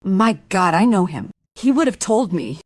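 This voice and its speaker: A woman's voice: mid-range voice, very animated, moderate pace, no echo, clean studio sound. A woman playing an anxious wife full of doubt in a radio drama, speaking in an insistent, questioning way. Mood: disbelieving. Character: anxious musician's wife doubting her husband's innocence. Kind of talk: dramatic radio play dialogue and monologue.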